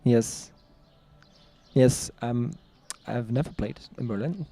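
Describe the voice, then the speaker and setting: Hesitant, chatty man with a mellow voice. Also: mid-range voice, slow, no room sound. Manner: hesitant, chatty, laughs often. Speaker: vlog host, singer-songwriter. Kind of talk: casual conversation.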